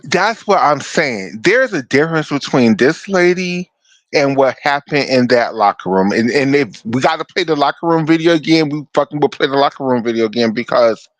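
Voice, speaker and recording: A man with a deep voice co-hosting a podcast, talking bluntly and emphatically, swearing freely. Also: expressive, normal speed, dry recording. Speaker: podcast co-host. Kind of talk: casual chat.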